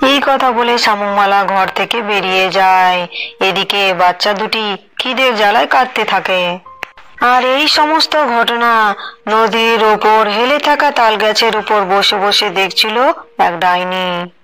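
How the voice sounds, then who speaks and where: A woman's voice: medium pitch, a little slow, fairly bright tone, rough recording. A woman narrating a scripted story as the storyteller.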